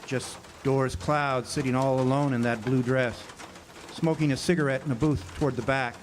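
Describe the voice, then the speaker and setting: An actor playing a world-weary drifter, narrating a hardboiled radio drama in a wry, hesitant way. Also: male voice, mid-range voice, steady tone, normal speed, close to an everyday voice. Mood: wry, reflective. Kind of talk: noir-style radio drama narration and dialogue. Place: outdoor amphitheater stage. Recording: noisy outdoor live recording with birdsong.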